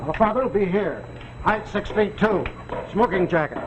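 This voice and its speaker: A brusque, demanding army official in a 1940s TV drama. He gives clipped orders in a high male voice over a noisy vintage soundtrack. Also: animated, normal speed, lo-fi sound. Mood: brusque, urgent. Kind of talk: 1940s television drama acting.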